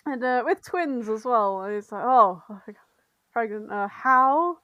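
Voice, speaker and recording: A woman's voice: a little high, lively intonation, takes it slowly, bright, forceful tone, no room sound, lo-fi sound. A woman co-hosting a podcast, telling stories casually in a giggly, irreverent, rambling way. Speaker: podcast co-host reading and summarising fan fiction. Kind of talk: casual comedic storytelling chat.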